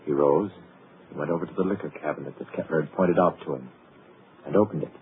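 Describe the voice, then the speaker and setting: A man narrates a radio drama in a dry, wry storytelling manner. His voice is extremely deep. It comes through a tinny, hissy 1940s radio broadcast. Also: quick pace.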